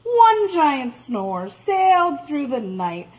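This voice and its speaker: Slow, animated woman reading a picture book. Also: sits high, rough recording. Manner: dramatic, expressive, with drawn-out emphasis. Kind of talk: animated read-aloud of a children's story.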